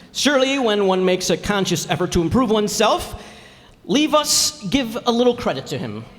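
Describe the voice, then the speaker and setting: A man plays a blustery middle-aged husband in an old-time radio comedy, full of pompous airs and bumbling stammers. His pitch swings widely, and the voice rings in a big reverberant hall. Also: big pitch swings, normal speed, fairly bright tone.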